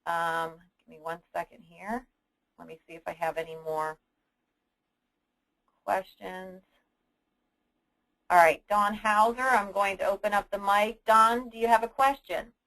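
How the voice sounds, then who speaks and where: A woman hosting a conference call briefing, answering submitted questions in an explanatory, businesslike and somewhat halting manner. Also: medium pitch, steady tone, fairly bright tone, low-quality recording. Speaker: host of an insurance agents' conference call. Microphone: telephone conference line.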